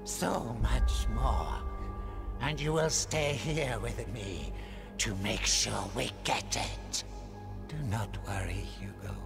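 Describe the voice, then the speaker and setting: A sinister old churchman, very slow and chilling in a game scene. He leans into whispers and drawn-out threats. Music swells loudly behind him. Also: on the low side, gravelly. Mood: cold menace. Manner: slow, cold, whispering menace. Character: menacing old male grand inquisitor.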